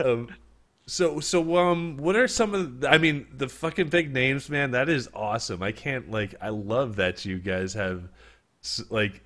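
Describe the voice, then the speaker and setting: A man's voice: medium pitch, measured pace, fairly bright tone. A man hosting a casual livestream interview, rambling with many restarts and frequent laughs, and a hint of a New York accent. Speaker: interview host.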